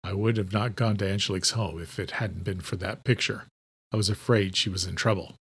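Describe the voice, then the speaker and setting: A man's voice: low-pitched, steady tone, fairly quick, a bit bright, slightly rough, voice pushed down. A man playing a composed, serious, loyal investigator in a thriller audiobook. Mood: earnest.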